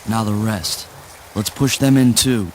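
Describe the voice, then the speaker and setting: A man's voice: on the low side, a little slow, a little hiss or hum, lightly acted, low-quality recording. A man playing a frantic, defiant father in a spoken word play, with an urgent, dramatic delivery. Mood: panicked urgency. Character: frantic, defiant father hiding corpses in a river. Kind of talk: spoken word stage-style radio play acting.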